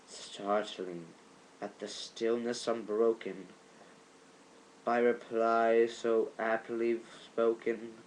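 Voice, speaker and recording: A man giving an amateur dramatic reading of a poem, theatrical, in halting phrases with swelling emphasis. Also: medium pitch, level tone, noisy background.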